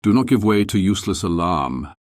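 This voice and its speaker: Guy doing a calm sensible middle-aged uncle in an audiobook, deep and reassuring, no room echo. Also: clean studio sound. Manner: measured, steadying. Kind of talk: dramatized audiobook character reading.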